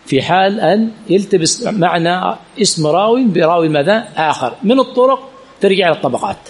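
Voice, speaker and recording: Husky fast-talking male teacher. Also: sits high, expressive. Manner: asks the class rhetorical questions and repeats key words. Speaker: sheikh teaching hadith chain studies. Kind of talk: classroom lesson with Q&A. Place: teaching center classroom.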